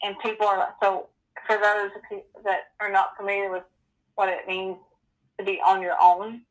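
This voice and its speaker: A woman in her forties telling her personal story as an interview guest, earnest and halting, with many ums and you-knows. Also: mid-range voice, flat delivery, rough recording. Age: 40s.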